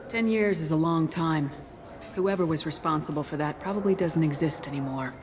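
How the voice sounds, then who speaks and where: An actress playing a cool, assured space soldier hero in a video game, speaking in a firm, direct way. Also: female voice, low-pitched, normal speed, noisy background, lightly acted. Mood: calm.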